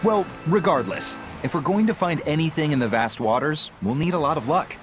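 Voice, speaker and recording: An eager young pirate sailor, played by a man speaks in a fairly high male voice. The friendly game delivery is very animated in pitch, with faint music behind. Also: a little fast, natural voice. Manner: eager, explanatory.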